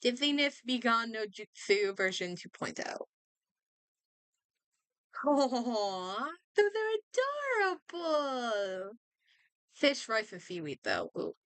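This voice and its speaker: A woman streamer chatting casually and thinking aloud in an expressive way as she comments on a game. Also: fairly high pitch, slow, long pauses, dry recording.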